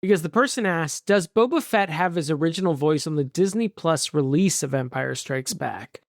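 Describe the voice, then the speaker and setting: A podcast co-host in a casual movie chat, talking in an animated way with jokey asides and exclamations. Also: mid-range voice, normal speed, no room sound, studio quality.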